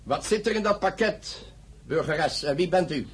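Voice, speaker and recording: A man playing a stern gruff official. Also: on the high side, lively intonation, normal speed. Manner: gruff, curt and commanding. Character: stern middle-aged male revolutionary official. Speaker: prison commander. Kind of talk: radio play acting.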